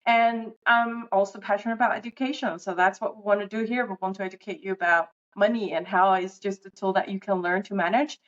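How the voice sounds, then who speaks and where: A woman's voice: medium pitch, fairly even intonation, fairly quick, few pauses, dull audio. A woman in her thirties from Venezuela co-hosting a money podcast, talking in a friendly, explanatory way with some fillers. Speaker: podcast co-host. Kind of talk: casual podcast chat.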